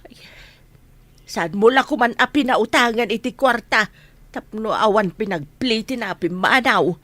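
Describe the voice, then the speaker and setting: A woman's voice: a little high, moderate pace, fairly bright tone, no room sound. A woman acting in a radio drama as an outspoken, fretful village woman who nags and advises. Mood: worried, complaining.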